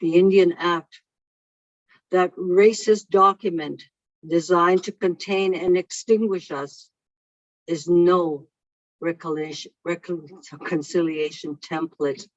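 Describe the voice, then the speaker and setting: Keynote speaker, English as a second language, very slow and deliberate, dry dull lo-fi call audio. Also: mid-range voice, fairly even intonation, no echo. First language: not English. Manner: slow, measured and deliberate delivery. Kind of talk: reading a prepared keynote speech. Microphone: video call microphone.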